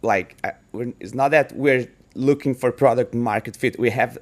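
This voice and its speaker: Founder demo read: Brazilian-accented man, mid-range voice, loose and halting, full of restarts. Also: medium pitch. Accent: Brazilian Portuguese. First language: Portuguese. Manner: halting, many restarts and fillers. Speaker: startup founder demoing product. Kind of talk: casual screen-share product demo.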